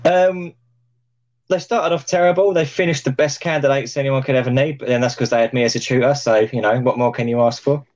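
A man hosting a podcast over a video call, chatty and joking with lots of fillers, his voice a little croaky. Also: mid-range voice, fairly even intonation, fairly quick, a little mellow, lo-fi sound. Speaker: podcast host. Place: plant room.

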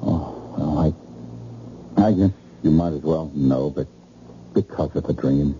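An actor playing a troubled, evasive boyfriend in an old-time radio drama, speaking in a halting, uneasy manner. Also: male voice, extremely low pitch, warm tone. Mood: haunted, fearful. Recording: muffled noisy old radio recording.

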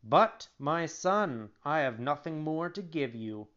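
A reader voicing a patient, kindly old father in a storybook, mildly and slowly admonishing a child. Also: mid-range voice, expressive, a bit bright, muffled recording. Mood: gentle. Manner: slow, mild, fatherly admonishing.

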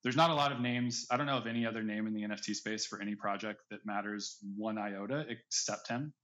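A man's voice: on the low side, fairly even intonation, quick pace, fairly bright tone. A man speaking as a podcast guest in casual, discursive interview chat, often hedging as he explains. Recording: slightly dull, lo-fi audio.

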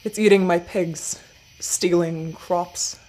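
A woman voicing a weathered, desperate older male farmer who speaks in a rough, worried way. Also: fairly low pitch, steady tone, slow, darkish tone, low-quality recording. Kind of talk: audiobook character voice.

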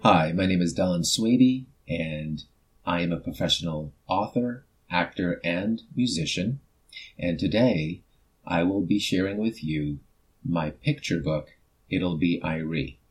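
A man presenting himself and his work as a featured storyteller, in measured, clearly phrased speech. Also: sits low, rough recording. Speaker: featured storyteller, author and actor. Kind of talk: self-introduction and book presentation.

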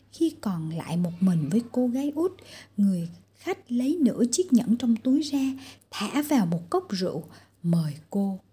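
A woman reading a scripted fairy tale for children as a storyteller, with a husky, breathy voice. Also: medium pitch, mellow.

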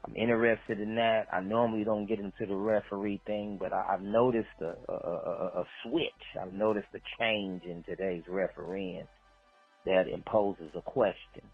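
Male sports fan, low and rough-edged, casual opinions on a dull voicemail with music behind. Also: fairly even intonation, normal speed. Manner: repeats phrases for emphasis.

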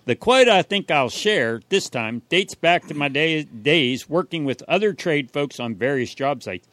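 A man's voice: fairly high pitch, lively intonation, measured pace, fairly bright tone, no echo. A man co-hosting a radio show in bantering chat, a folksy jokester with a hesitant delivery.

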